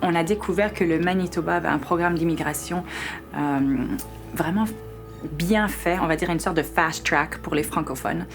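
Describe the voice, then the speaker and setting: A woman's voice: lowish voice, normal speed. A woman from Belgium, giving a personal testimonial in an interview. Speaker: international trade director. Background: music bed.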